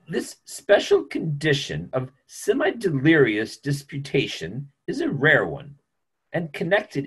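A middle-aged man playing a careworn, formal family doctor in an online play reading, with measured, hesitant phrasing. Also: mid-range voice, fairly bright tone, slightly rough, exaggerated voice. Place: small room at home, video call.

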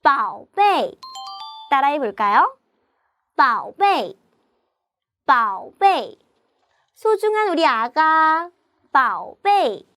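Woman, children's storyteller and teacher, very lively intonation, unhurried, dry close sound. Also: high-pitched, no echo, faint music behind. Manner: slow clear teaching with repeat-after-me prompts. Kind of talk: animated storytelling lesson for young children.

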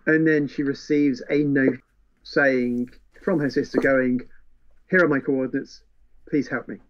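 A man's voice: medium pitch, fairly even intonation, unhurried, highs cut off. A man co-hosting a casual book chat, retelling plots and acting out characters' lines as he explains. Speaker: podcast co-host.

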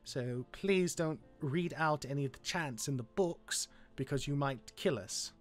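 A man playing a sardonic, awkward immortal demigod older brother, sarcastic and halting, in a fantasy game roleplay. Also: a little high, over music, natural voice. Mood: awkward, kindly. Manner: sarcastic, halting when flustered.

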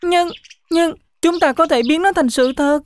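A woman's voice: extremely high pitch, a little slow. A woman voicing an earnest, determined young goat in a children's cartoon. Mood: earnest, pleading.

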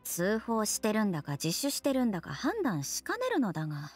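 A woman's voice: medium pitch, wildly swooping pitch, very fast, breathy edge, faint music behind. A woman playing a stern, dutiful young police captain, formal and firm, in a Japanese anime game. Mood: stern, questioning. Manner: formal, firm, matter-of-fact.

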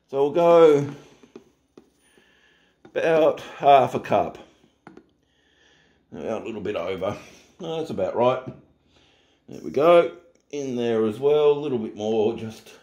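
A man's voice: medium pitch, slow, pauses often, reverberant, muffled recording. A middle-aged man hosting a casual home cooking tutorial in his kitchen, chatty and joking at himself. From Australia. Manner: relaxed, chatty, jokes at himself.

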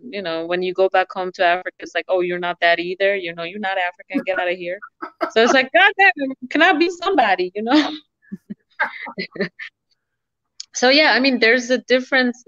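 A woman's voice: on the low side, a bit bright, dry recording. A young woman from Sudan chatting as a guest on a video call, laughing easily. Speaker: guest business owner. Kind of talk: casual video call interview. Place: home room, indoors. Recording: dull lo-fi video call audio.

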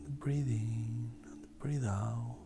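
A meditation guide speaking softly and breathily, often close to a whisper, in a gentle, unhurried manner with long pauses. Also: medium pitch, steady tone. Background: ambient meditative music.

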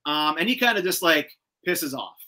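A man hosting a casual video-call chat, recapping a cartoon episode in an animated, slangy, sometimes profane way. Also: a little high, normal speed. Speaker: host. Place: indoor room with shelves of collectibles, on a video call.

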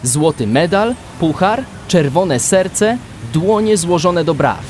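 A man's voice: fairly high pitch, expressive, slow pace, darkish tone, slight background noise. A man narrating a children's adventure radio play in a scripted storytelling style. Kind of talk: scripted audio drama narration.

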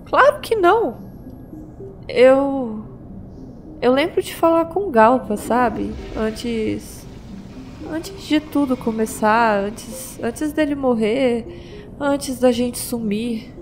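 A woman with a Brazilian accent voicing a pregnant young fighter who talks with halting restarts, in an audio drama. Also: a little high, a little hiss or hum. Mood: worried. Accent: Brazilian Portuguese. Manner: hesitant, stumbling restarts.

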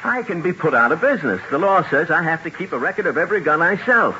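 Casting: male actor as grumbling, slangy gun dealer, very lively pitch, old radio drama, muffled noisy recording. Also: fast talker, close to an everyday voice. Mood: irritated. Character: irritated, talkative gun shop owner, a man. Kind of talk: 1970s radio drama acting.

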